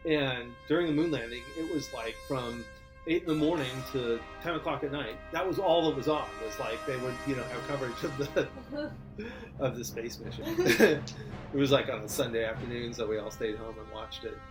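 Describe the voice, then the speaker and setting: A man in his fifties from Oregon is interviewed and tells his memories in a chatty, animated way. His voice sits at a middle pitch. Clear guitar music plays behind him. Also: normal speed, rough recording. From Oregon, USA. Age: 50s. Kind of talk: casual reminiscing.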